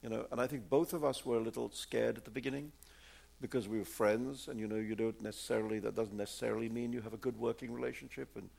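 Gravelly, husky older man. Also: mid-range voice, fairly even intonation, fast, a little mellow. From India. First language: Urdu. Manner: rambling with many fillers and repeats. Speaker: author answering audience questions. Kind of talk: live audience Q&A.

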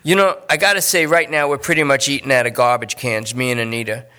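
A man voicing a jittery, talkative male junkie who rambles and pleads in fast bursts. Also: medium pitch, steady tone, bright, forceful tone, clear, resonant tone, lightly acted. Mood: pleading.

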